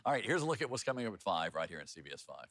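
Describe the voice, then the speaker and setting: A man's voice: lowish voice, sing-song, racing, fairly bright tone, dry recording, studio quality. A man with an American accent anchoring TV news, with an informal, folksy way of talking. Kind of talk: scripted news reading mixed with casual banter.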